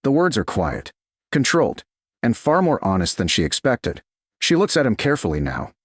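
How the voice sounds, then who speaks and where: A man reading scripted romance fiction as an audiobook narrator, in measured, dramatic short phrases. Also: medium pitch, wildly swooping pitch, no room sound, studio quality.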